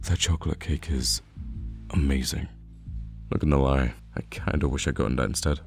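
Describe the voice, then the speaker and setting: A man acts out a teasing, easygoing young male friend in an intimate roleplay. His voice is extremely deep with plenty of creak, and he banters in an animated, casual way. Faint music plays behind him. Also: moderate pace. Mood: casual, enthusiastic. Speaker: ASMR roleplay voice actor. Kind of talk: conversational ASMR roleplay acting.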